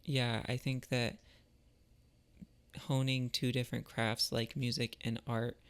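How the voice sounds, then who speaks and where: Male creator, vocal fry, middle-pitched, informal and reflective, thinking aloud as he talks. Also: flat delivery, slow. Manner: thoughtful, informal, searching for words. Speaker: musician and artist, video creator. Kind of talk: casual reflective talk about creativity.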